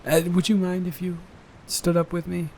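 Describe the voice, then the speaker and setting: A man playing a nervous, adoring young boyfriend in an improvised intimate roleplay audio, speaking in a halting, bashful, rambling way. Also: sits high, level tone, mellow, slight background noise. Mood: nervous, stalling.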